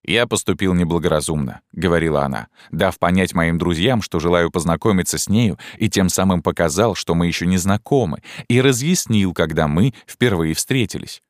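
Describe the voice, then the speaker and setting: A man reading a literary story aloud as an audiobook narrator, with expressive, measured delivery and drawn-out emphasis. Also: low voice, no room sound, studio quality.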